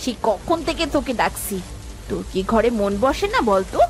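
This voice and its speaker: A woman's voice: fairly high pitch, big pitch swings, moderate pace, soft background music. A woman voicing a harried village mother and wife in a cartoon, chiding and caring in a homely way. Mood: scolding. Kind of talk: cartoon voice acting.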